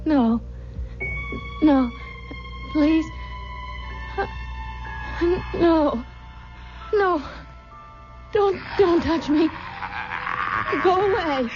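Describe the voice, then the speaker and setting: An actress playing an ardent, emotional young bride in an old-time radio drama. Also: female voice, high-pitched, animated, very slow, pauses often, over music, heavily acted. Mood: horrified. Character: ardent young bride, romantic and easily terrified. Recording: dull, noisy old radio recording.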